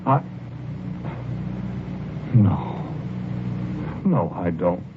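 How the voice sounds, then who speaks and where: An actor playing a haunted, fearful husband in an old-time radio horror drama, speaking slowly and haltingly with brooding, heavy pauses. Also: male voice, low voice, big pitch swings, noisy background, exaggerated voice. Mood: anguished, pleading. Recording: muffled, tinny vintage radio recording with music. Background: loud music.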